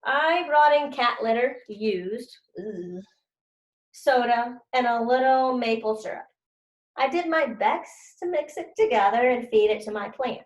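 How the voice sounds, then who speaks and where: A woman's voice: on the high side, measured pace, roomy sound, muffled recording, close to an everyday voice. A woman voicing a cocky, mischievous schoolboy narrator in a children's storybook, boastful and informal.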